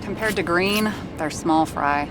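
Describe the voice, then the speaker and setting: An actress playing a blunt, troubled woman investigator in a dystopian noir video game, direct, questioning and sharp-tongued. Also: lowish voice, unhurried, over music, lightly acted. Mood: serious, intrigued. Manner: direct, questioning, foul-mouthed. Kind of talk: cinematic video game dialogue.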